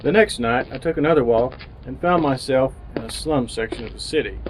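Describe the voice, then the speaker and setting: A man's voice: mid-range voice, a little fast, soft background music, lightly acted, rough recording. An actor playing an earnest, jaded young ad man who narrates his misadventures in old-time radio drama style. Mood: wry, brooding.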